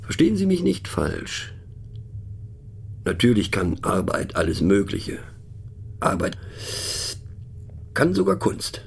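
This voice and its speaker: A man narrating a satirical radio play as a pensive, mocking monologue, musing conversationally with halting, emphatic phrasing. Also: fairly low pitch, big pitch swings, gravelly, breathy edge. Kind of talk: scripted monologue performed as musing conversational talk.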